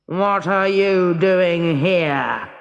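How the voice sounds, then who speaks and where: Storybook lake giant, acted by a narrator: fearsome but blustering, slow, theatrical exclamations. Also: medium pitch, gravelly, long reverb tail, dull audio. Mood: menacing. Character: fearsome green-bearded lake giant, male, blustering and pleading. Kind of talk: children's storybook character reading.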